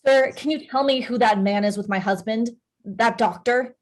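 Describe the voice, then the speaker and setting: High-voiced worried, distrustful wife, acted by a woman. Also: normal speed, close to an everyday voice. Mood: uneasy. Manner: anxious, questioning. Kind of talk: screenplay table read acting.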